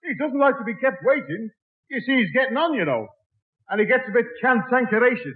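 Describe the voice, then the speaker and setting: A man's voice: very high-pitched, lively intonation, brisk. A man playing a matey working-class Liverpudlian in a 1960s radio sitcom, reeling off jokey patter and mangling long words. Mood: breezy. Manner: breezy, jokey, malaprop-prone patter. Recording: tinny old radio broadcast recording.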